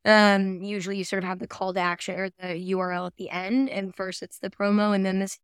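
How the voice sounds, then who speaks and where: A woman co-hosts a podcast in a casual, conversational way. Her voice is clear and smooth, at a middling pitch. She sounds agreeable and thinks aloud as she goes, recorded dry and close. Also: level tone, brisk, dry recording. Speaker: podcast co-host. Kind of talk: casual chat reviewing podcast ads.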